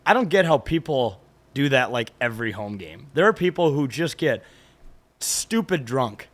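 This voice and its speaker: A man with a mid-pitched voice hosts a sports podcast in a brash, joking way. He swears casually and talks loosely with his co-hosts. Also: sing-song, normal speed. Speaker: podcast host.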